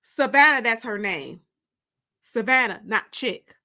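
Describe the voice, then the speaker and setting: Woman acting curt, taunting man, bright-edged voice. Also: on the high side, animated, unhurried, exaggerated voice. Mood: defiant. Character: tall young man, dismissive defensive casual lover. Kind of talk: dramatic audiobook dialogue.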